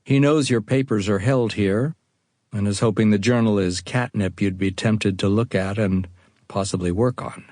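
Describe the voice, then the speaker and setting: Low-voiced American man reading an audiobook. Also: moderate pace, a little mellow, no echo. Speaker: author reading own audiobook. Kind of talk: audiobook narration, first-person account.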